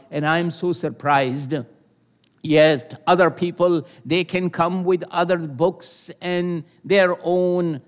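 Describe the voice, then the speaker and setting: Older man preaching slowly. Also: on the high side, smooth. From Pakistan. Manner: earnest and measured, with pauses and hesitations. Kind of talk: sermon with personal testimony.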